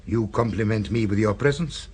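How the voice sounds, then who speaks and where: A purring, sinister restaurateur. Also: male voice, lowish voice, moderate pace, darkish tone. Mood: insinuating. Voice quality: smooth, purring, sinuous delivery. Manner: silky and insinuating, tags questions with "eh". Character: suave, sinister male restaurant owner, middle-aged. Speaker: restaurant proprietor. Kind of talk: radio drama acting.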